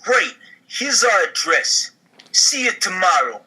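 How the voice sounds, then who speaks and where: A man's voice: high-pitched, big pitch swings, takes it slowly, pushed and bright, breathy edge, full character voice, poor audio. A man acting a slick, pushy, sleazy mafia recruiter in an amateur comedy table read. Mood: pushy, warning.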